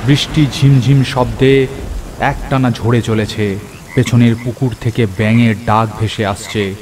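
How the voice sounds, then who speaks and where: A man playing an earnest, excitable friend who tells a cartoon horror story in the first person, in an expressive, theatrical way. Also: mid-range voice, a little slow, crowd noise, natural voice. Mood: atmospheric, pensive. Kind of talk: dramatic scripted first-person horror narration. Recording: clear rain and thunder over voice. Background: rain and thunder effects.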